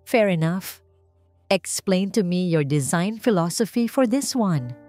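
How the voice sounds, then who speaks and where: Woman voicing a measured Filipino male architect, music behind. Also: fairly low pitch, animated, mellow. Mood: cool, probing. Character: male architect in his mid-thirties, cool, probing interviewer. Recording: music under the voice.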